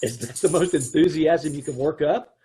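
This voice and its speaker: A man's voice: a little high, normal speed, dark tone, slight background noise, natural voice. A man playing an exasperated, wry husband who also narrates, in an amateur old-time radio play. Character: put-upon husband, adman Mister Ace. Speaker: narrator of the story.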